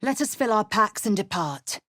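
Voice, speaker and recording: An actress playing a fierce, imperious alien warrior woman in a video game, husky, clipped, commanding and disdainful. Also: medium pitch, animated, moderate pace, fairly bright tone, dry recording, studio quality. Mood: curt, commanding. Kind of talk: video game character voice lines.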